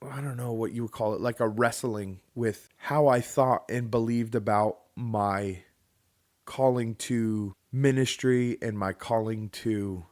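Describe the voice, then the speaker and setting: A man's voice: mid-range voice, fairly even intonation, unhurried, darkish tone. A man in his thirties, co-hosting a podcast, who tells a personal story in a rambling way with many restarts and joking asides. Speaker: podcast co-host. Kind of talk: casual storytelling chat.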